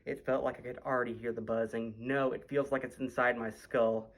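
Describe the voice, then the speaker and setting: A teenage boy, a high school student, giving a dramatic first-person horror reading. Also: level tone, brisk, rough recording. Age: teens.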